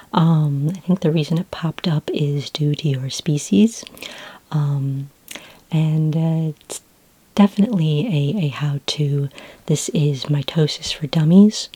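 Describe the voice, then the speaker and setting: Female ASMR performer as a friendly librarian: low-pitched, breathy near-whisper, gentle and patient, conversational delivery. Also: dry recording. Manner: gentle, unhurried, helpful, conversational. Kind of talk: soft-spoken ASMR roleplay. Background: computer keyboard typing.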